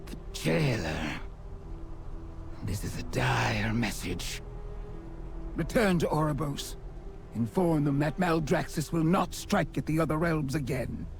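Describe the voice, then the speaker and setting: A woman's voice: sits low, animated, takes it slowly, gravelly, pauses often, over music, heavily acted. A woman playing a stern, resolute undead warrior commander in a video game, terse and commanding. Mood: grim resolve.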